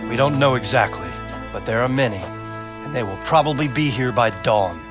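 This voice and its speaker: An actor playing a stern, authoritative nobleman leading men in war, in a measured, firm way for a video game. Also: medium pitch, expressive, lightly acted. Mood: resolute. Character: stern, commanding medieval nobleman and wounded war leader. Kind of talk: dramatic video game cutscene acting. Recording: music behind the voice.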